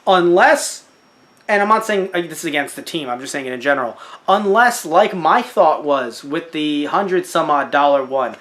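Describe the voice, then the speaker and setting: A young man co-hosting a casual podcast, animated and joking, with mocking asides. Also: a little high. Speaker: podcast co-host. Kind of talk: casual chat about a crowdfunding campaign.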